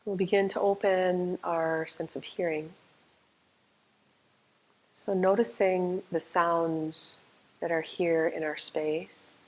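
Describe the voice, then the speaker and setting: Slow, low-voiced woman guiding meditation. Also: poor audio. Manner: calm, soothing, unhurried, with long pauses. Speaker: yoga nidra meditation teacher.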